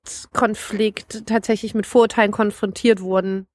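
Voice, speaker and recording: A woman's voice: medium pitch, level tone, normal speed, darkish tone, no room sound. A young woman from eastern Germany in a casual podcast discussion, earnest and a little hesitant. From Dresden area, eastern Germany. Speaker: podcast co-host.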